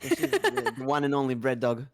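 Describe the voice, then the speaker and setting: A man hosting a casual podcast, talking in a chatty, rambling way with a slightly tired, raspy voice. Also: mid-range voice, huge pitch swings, fairly quick, no room sound, studio quality. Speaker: podcast host.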